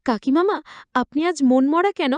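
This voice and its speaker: A woman's voice: sits high, measured pace, a little mellow, dry recording, lo-fi sound. A woman narrator voicing a respectful young male college student, a concerned nephew in an emotional story. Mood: concerned, curious.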